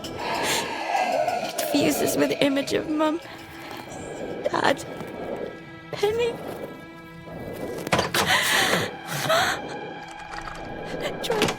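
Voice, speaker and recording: Frightened child, high-pitched, slow and halting, urgent first-person storytelling, music behind. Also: female voice, expressive, full character voice. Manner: halting, repeating words, breathy urgency. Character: terrified child narrator protecting younger sibling at sea. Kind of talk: first-person present-tense dramatic narration. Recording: loud dramatic music behind. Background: dramatic music.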